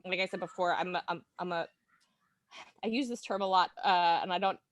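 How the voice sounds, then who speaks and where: Giggly, excitable woman in casual chat. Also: on the low side, a little fast, no room sound, clean studio sound. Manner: giggly, self-deprecating, excitable. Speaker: guest, listener and fan of the show.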